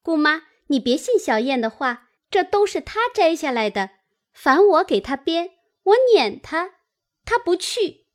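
A woman's voice: sits high, sing-song, a little slow, voice pushed up. A woman voicing an outspoken, pert young maidservant in a storytelling reading. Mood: teasing, pleading. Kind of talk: dramatised classic novel storytelling.

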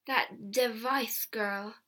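A woman voicing a grumpy, indignant fat male peddler of fifty in a storybook, speaking slowly and emphatically. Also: sits high, lively intonation, fairly bright tone. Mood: weak, accusing. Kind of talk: storybook character acting.

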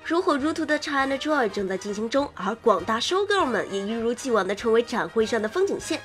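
A woman host reading scripted game news in an expressive presenter's manner, slipping in wry, playful asides. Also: a little high, a little fast. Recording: music under the voice. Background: background music.

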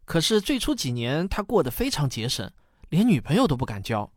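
A man's voice: fairly high pitch, very animated, brisk, no room sound, studio quality. A man playing a patient, kindly father who tells stories in a radio drama. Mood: storytelling. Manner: warm storytelling, patient explaining. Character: patient, kindly father explaining family history to his daughter.

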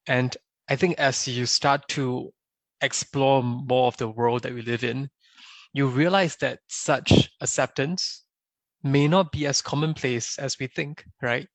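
A young man from Singapore, answering interview questions in a thoughtful, measured way and often tagging on "right?". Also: mid-range voice, fairly even intonation, dry recording, poor audio. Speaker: podcast guest.

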